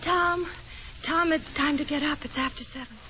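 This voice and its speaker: An actress playing a shy, gentle young woman who pleads softly in a 1950s radio drama. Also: sits high, normal speed, lightly acted. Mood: gently pleading. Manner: timid, pleading. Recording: dull, hissy old radio broadcast.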